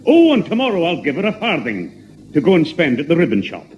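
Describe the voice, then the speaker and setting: A man's voice: on the high side, huge pitch swings, fast talker, a little mellow, muffled recording. A man playing a miserly, blustering Scottish baron and stern father in a 1960s radio pantomime. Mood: self-satisfied. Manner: blustering, pompous. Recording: old radio recording, noisy studio audience.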